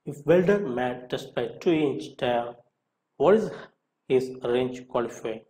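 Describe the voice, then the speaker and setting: A man with a mid-range voice narrates a scripted lesson. He reads out questions and follows each with a short answer. His delivery is deliberate, in the way of an instructor. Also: medium pitch, animated, mellow, poor audio.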